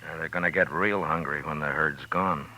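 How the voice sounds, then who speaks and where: An American actor playing a weary, laconic U.S. marshal in a 1950s radio western, speaking in terse, measured, understated lines. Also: male voice, rumbling low, steady tone, pushed and bright, heavily acted, poor audio. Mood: terse, watchful. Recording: old 1950s radio broadcast with music.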